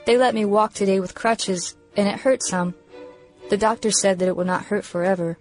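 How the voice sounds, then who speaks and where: A woman's voice: mid-range voice, darkish tone, natural voice, low-quality recording. A woman playing an earnest, innocent young boy reading his diary in a radio play, recovering from an attack. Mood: sober, innocent.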